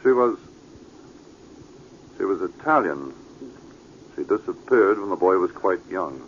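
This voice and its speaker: An actor playing a reserved, upright police sergeant in a measured, formal manner for a vintage radio drama. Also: male voice, low-pitched, animated. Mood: probing. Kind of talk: 1940s radio drama acting. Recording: muffled, noisy vintage radio recording.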